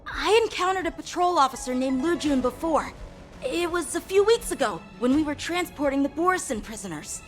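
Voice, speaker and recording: An alert young game heroine, earnest, kinda high voice, soft music behind her. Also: lively intonation, moderate pace. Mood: puzzled, investigating. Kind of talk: scripted game dialogue. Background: background music.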